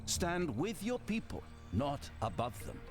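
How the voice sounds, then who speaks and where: A man's voice: a little high, sing-song, measured pace, soft background music. A man playing a noble military lord in a video game, formal and dignified, with dry, lofty diction. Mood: resolute.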